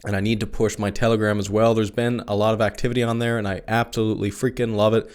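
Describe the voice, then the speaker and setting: A man's voice: lowish voice, flat delivery, quick pace, darkish tone, clean tone. A young adult man hosting a solo podcast, talking casually through his thoughts with frequent restarts and asides. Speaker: podcast host. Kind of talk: solo philosophy podcast monologue.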